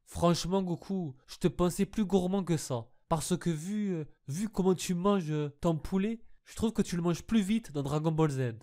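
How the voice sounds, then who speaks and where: A man's voice: high-pitched, moderate pace, few pauses, mellow. A man hosting a casual, humorous commentary on cartoon scenes, teasing the characters as if talking to them. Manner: teasing, addresses the characters directly.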